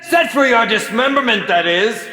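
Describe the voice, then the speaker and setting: An actor voicing a pompous, campy, sadistic horror film director for a video game, with condescending, drawn-out theatrical delivery. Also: male voice, very high-pitched, lively intonation, bright, forceful tone, roomy sound, big-room sound, a little hiss or hum, heavily acted. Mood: gleeful, menacing. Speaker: game announcer.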